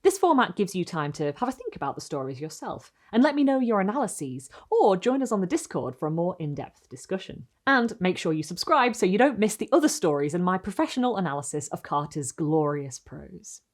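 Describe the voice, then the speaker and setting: A woman with a slightly low voice reads like a dramatic storyteller. Her pitch moves freely and widely, and her phrasing is deliberate and expressive, suited to scripted literary narration. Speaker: host and reader of a literary video series.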